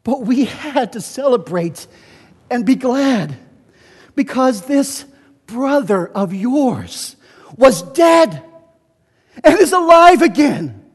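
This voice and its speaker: A man's voice: very high voice, big pitch swings, takes it slowly, pauses often, breathy edge, pitched above the performer's own voice, full character voice. A man playing a loving, jubilant, forgiving old father in a dramatic Bible reading, exclaiming with emotion. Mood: tender, emotional. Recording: echoey church hall, long reverb.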